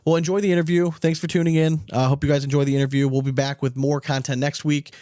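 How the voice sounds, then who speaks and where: A man's voice: a little high, flat delivery, fast talker, dark tone, dry recording, clean studio sound. A man in his thirties hosting a podcast in an informal, slangy, chatty way. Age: 30s. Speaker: podcast host. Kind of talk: casual podcast chat.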